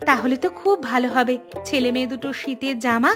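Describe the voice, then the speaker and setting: A woman voicing a kind, caring village mother and farmer's wife in a cartoon, affectionate and expressive. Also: sits high, fast, over music, close to an everyday voice. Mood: chatty. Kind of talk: cartoon voice acting.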